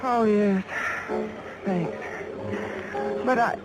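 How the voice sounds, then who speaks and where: A woman's voice: mid-range voice, extremely slow, over loud music, low-quality recording. An American woman playing a weary, guarded young secretary, loyal and wounded, in a 1950s radio drama.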